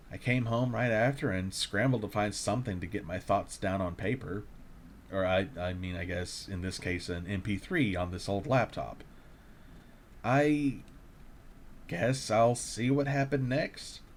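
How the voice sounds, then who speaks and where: A man's voice: fairly low pitch, a little hiss or hum. A man playing a shaken delivery courier, telling an eerie first-person account in a dramatic, confessional way for a horror audio drama. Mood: resigned, unsettled.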